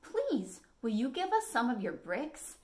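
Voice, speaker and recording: A woman's voice: a little high, sing-song, measured pace, a little mellow, voice pushed up. A woman voicing small, polite, timid young storybook wolves in a sing-song, pleading manner.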